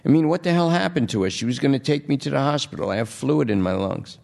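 A man's voice: mid-range voice, expressive, quick pace, creaky, a little mellow, pitched above the performer's own voice. A man voicing a jittery, wheedling male junkie under arrest who pleads and rambles. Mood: agitated.

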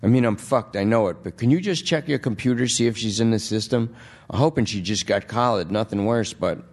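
A man's voice: on the low side, a little fast, darkish tone, voice pushed up. A man voicing a jittery, wheedling male junkie under arrest who pleads and rambles. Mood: pleading.

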